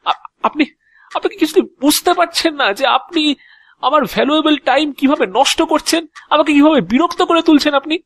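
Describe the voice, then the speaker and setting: A man acts out an exasperated, anxious fellow in an audio drama. The voice sits very high, in a dry recording. He stammers and repeats himself as he protests and pleads. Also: normal speed, fairly bright tone, no room sound. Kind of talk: Bengali audio drama acting.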